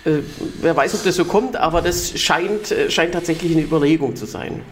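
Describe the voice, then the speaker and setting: A man's voice: on the high side, brisk, a little hiss or hum. A man from Germany co-hosting a political podcast, explaining news at length with many fillers and restarts. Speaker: podcast co-host. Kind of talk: casual political chat.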